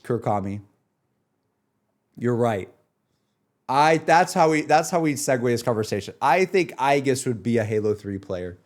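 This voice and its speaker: A man's voice: mid-range voice, expressive, moderate pace, long pauses. Young adult male streamer, mid-range voice, informal and enthusiastic, self-deprecating, rambling conversational delivery. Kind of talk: casual livestream chat, rambling gaming talk.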